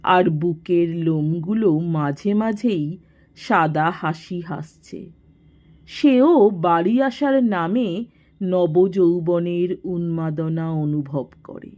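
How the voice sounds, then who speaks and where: A woman narrating a literary story in a measured, expressive manner, in a slightly dull recording. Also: fairly low pitch.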